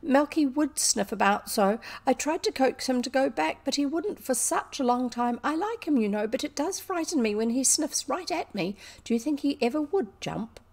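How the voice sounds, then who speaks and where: A woman voicing a timid, slow-witted, plump schoolgirl who is eager and anxious, with a plaintive, exclamatory delivery, as in a storybook reading. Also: a little high, vocal fry, darkish tone, pitched above the performer's own voice.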